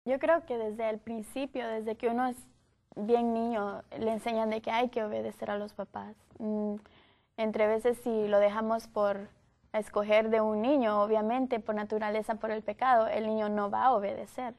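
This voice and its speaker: A young woman from Guatemala speaking as a panelist, explaining her view calmly and reasonably. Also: fairly high pitch, moderate pace. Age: young adult. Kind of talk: panel discussion.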